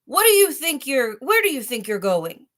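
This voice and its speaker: A woman's voice: high voice, very animated, moderate pace, a bit bright, pitched above the performer's own voice. A woman voicing a vain, hot-tempered male lion in a children's storybook, with a blustering, exclamatory way of talking. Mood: angry, menacing. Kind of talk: children's storybook read-aloud.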